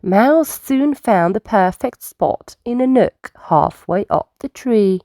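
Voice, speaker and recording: A woman reading bedtime stories aloud to young children in an expressive, unhurried storytelling manner. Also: medium pitch, dry recording, clean studio sound.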